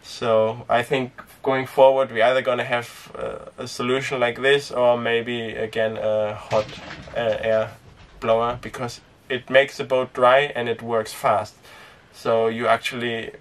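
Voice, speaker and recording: Young man, non-native speaker, casual vlog talk, relaxed, filler-heavy, middle pitch, slightly distant mic. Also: a little slow, hardly any pauses. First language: Danish. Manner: relaxed and rambling, with frequent fillers and small laughs. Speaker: sailing vlogger. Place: inside a small sailboat cabin and cockpit tent.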